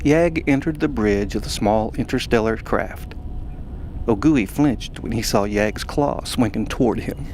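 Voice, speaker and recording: A man's voice: medium pitch, unhurried, darkish tone, a little hiss or hum, poor audio. A man narrating a science fiction audio drama in a dry, wry tone. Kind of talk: scripted story narration. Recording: music bed under narration.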